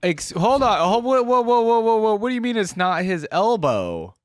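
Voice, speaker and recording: A man's voice: high voice, sing-song, normal speed, no room sound. A man hosting a reaction video with casual, chatty commentary.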